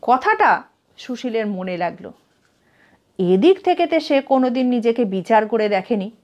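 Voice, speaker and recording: A woman storyteller reading a literary story aloud in an expressive narrating style. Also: fairly high pitch, a little slow.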